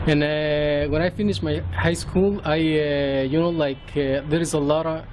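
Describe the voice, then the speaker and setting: Mexican guy telling his story in english, lots of uh and restarts, kinda high voice, traffic noise outside. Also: steady tone, normal speed, darkish tone. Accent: Mexican Spanish. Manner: hesitant, many fillers and restarts. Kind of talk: personal testimony. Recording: outdoor traffic noise behind.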